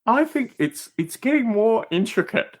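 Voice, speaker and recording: Animated man in his forties chatting. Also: very high voice, measured pace. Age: 40s. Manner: emphatic, repeats phrases for emphasis. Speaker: podcast guest. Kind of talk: casual podcast chat.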